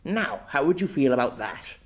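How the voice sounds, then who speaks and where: A man's voice: medium pitch, expressive, normal speed, natural voice. A man voicing a stuffy, proud old former knight with a formal, hesitant manner, in an audiobook story. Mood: explaining.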